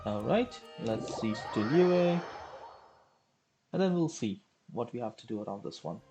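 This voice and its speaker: A woman casually talking through a video game on a live stream, thinking aloud and filling pauses with short remarks. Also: very deep voice. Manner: relaxed, filler-heavy, thinks aloud. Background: game music.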